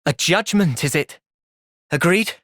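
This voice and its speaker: A man playing a gentle, thoughtful young soldier hero in a video game, earnest and reflective in manner. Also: on the high side, animated, unhurried, no echo, studio quality. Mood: stern. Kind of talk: video game cutscene voice acting.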